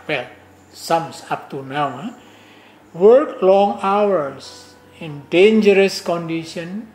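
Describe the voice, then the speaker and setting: A man's voice: high-pitched, a little mellow, echoey room. An older man, a professor lecturing with many fillers and restarts. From Indonesia. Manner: slow, halting delivery with many fillers and restarts. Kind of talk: academic lecture.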